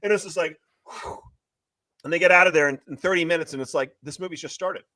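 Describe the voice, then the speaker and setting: A man talking as an interviewed author and screenwriter, retelling a story in an excitable, emphatic, repetitive way. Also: fairly high pitch, fast, no room sound. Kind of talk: animated promotional interview talk, retelling a story.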